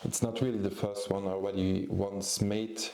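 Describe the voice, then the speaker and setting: Man in his 40s, non-native English speaker from Luxembourg; low, unhurried, halting delivery; echoey room. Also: little pitch movement, mellow, slight background noise. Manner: hesitant, many ums and restarts. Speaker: photographer and YouTube host. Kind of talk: casual vlog talk.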